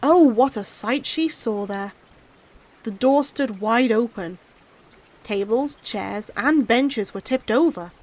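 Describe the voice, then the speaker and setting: A woman narrating a fairy tale in an expressive storybook style. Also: on the high side, slow pace. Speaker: audiobook narrator and streamer.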